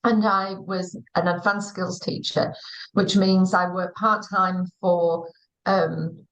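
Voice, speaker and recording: A woman in her forties with an English accent, speaking in a fairly low voice on a video call. She tells her story at a measured, unhurried pace, pausing often with "um". She sounds like a guest on a livestream interview. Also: flat delivery, fairly bright tone, low-quality recording. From England. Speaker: guest, school director of teaching.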